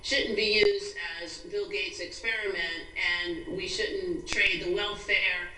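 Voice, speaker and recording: A woman's voice: mid-range voice, steady tone, takes it slowly, pushed and bright, far from the mic. An American woman speaking as an interview guest and activist, earnest, emphatic and articulate, over a roomy, noisy internet video call. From New York State, USA.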